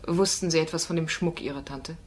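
A woman's voice: low voice, steady tone, a little fast, breathy edge. A woman playing a firm, probing female defence lawyer in a crime radio play, with insistent, pointed questioning. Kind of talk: German radio drama acting.

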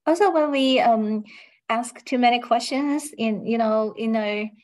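A woman's voice: fairly high pitch, measured pace, darkish tone. A woman whose first language is Chinese, a teacher chatting casually in an online discussion. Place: indoors, on a video call.

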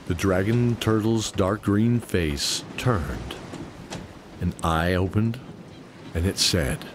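A man narrating a radio play in a deliberate, descriptive, dramatic storytelling style. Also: low-pitched, noisy background. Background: music bed.

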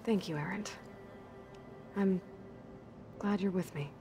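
A woman's voice: medium pitch, slow, mellow, close to an everyday voice. A woman playing a determined, sincere young warrior in a video game, speaking in an earnest, understated and dryly wry way. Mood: calm, reassuring. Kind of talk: video game dialogue acting. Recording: music playing behind the voice.

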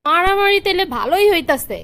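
Cartoon ex-girlfriend woman actor: extremely high pitch, Bangladeshi accent, smug, gossipy, sugary sarcasm. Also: lively intonation, brisk, fairly bright tone, heavily acted. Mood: gleeful. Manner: gossipy, mock-sweet sarcasm. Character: gleeful, gossipy jilted young ex-girlfriend, smugly sarcastic. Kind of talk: comic cartoon dubbing.

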